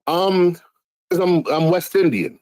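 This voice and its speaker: Brief: male guest, sarcastic profane storyteller, slightly high voice, dry close sound. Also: slow, a little mellow, dry recording. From Guyana. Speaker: guest musician. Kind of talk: casual chat on a live audio show.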